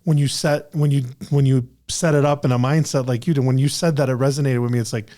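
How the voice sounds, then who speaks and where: Mellow halting male podcast host. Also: medium pitch, fairly even intonation. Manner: rambling, halting, many restarts and "you know". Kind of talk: casual interview chat.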